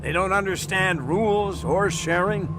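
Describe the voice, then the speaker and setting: A man's voice: high-pitched, a little slow, background noise. An actor playing a fussy, anxious male city official in a video game, easily flustered. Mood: earnest, weary. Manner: flustered, stammering when rattled. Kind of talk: video game dialogue acting.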